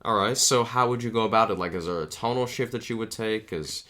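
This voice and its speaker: A man's voice: fairly low pitch, brisk, bright, forceful tone. A man co-hosting a casual discussion, asking questions and objecting in a hesitant, self-correcting way. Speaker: show co-host.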